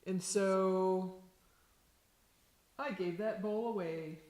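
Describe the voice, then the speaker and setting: A woman with a low, mellow voice talks slowly and gently, teaching as a sound healer. Her words are calm and reflective. The recording carries a roomy echo. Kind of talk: slow calm instructional talk.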